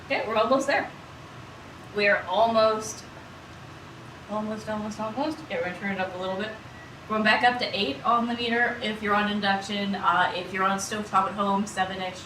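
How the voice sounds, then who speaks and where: A woman's voice: medium pitch, steady tone, brisk. Echoey noisy room, middle aged woman doing a cooking stream, rambly n playful, says stuff over and over. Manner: playful, rambling, repeats words and phrases. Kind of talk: casual livestream chat while cooking. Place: small indoor kitchen room. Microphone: distant, several feet away. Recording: roomy echo, distant mic, background noise.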